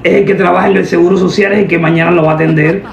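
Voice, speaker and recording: A young woman making a deadpan prank phone call, giving instructions in an official-sounding manner. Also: on the low side, racing, creaky, echoey room, a crowd in the background. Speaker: prank caller, host. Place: indoor room at a table. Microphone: camera a short distance away.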